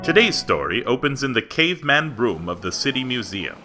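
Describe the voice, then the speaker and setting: A man's voice: medium pitch, sing-song, fairly quick, natural voice. A man playing an earnest 1940s radio announcer, emphatic and formal like a salesman. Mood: earnest, urging. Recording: music behind the voice.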